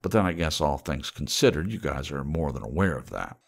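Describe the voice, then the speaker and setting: A man's voice: extremely low pitch, expressive, fairly quick, vocal fry. A man reading a work of fiction aloud as an audiobook narrator.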